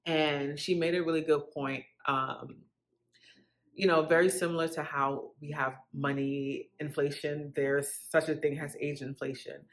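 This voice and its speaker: A woman's voice: low voice, level tone, measured pace. Candid, reflective woman in her late thirties. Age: late 30s. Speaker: vlogger. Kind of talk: candid casual chat to camera.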